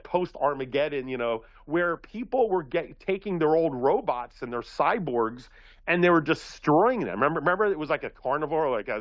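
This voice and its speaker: Animated rambling man from Philly. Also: medium pitch, dry recording, muffled recording. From Philadelphia. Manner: rambling, animated storytelling with many restarts. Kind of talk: casual talk-radio chat.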